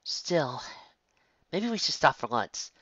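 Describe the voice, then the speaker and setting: Guy doing a bossy level-headed unicorn lady from a cartoon story, slightly raised pitch. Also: big pitch swings, slow pace, a bit bright, no room sound, lo-fi sound, exaggerated voice. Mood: firm, sensible. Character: sensible, bossy female unicorn pony leader.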